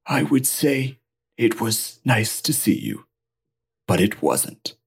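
A woman voicing a cold, taciturn retired male hitman who speaks curtly and deadpan. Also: very deep voice, takes it slowly, husky, full character voice. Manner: curt, flat, deadpan.

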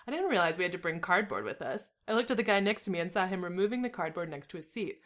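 A woman reading a fan story aloud for laughs, with deadpan asides and frequent laughter. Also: fairly low pitch, fast. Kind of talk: comedic dramatic reading with banter.

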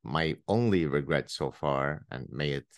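A middle-aged man from Lebanon, a native Arabic speaker, with a very low, deep voice. He hosts a casual conversation, thinking aloud, restarting phrases and leaning into playful emphasis. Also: unhurried. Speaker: podcast host.

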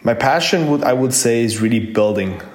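A man's voice: mid-range voice, expressive, moderate pace. A man, an online entrepreneur telling his own story in an earnest, enthusiastic way with frequent fillers. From Austria. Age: 30s. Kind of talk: personal story told to camera.

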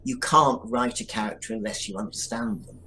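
A man's voice: on the low side, a little fast, sharp tone, slight background noise. A man talking reflectively as a writer interviewed on a podcast, thinking aloud with restarts. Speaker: writer of an audio drama.

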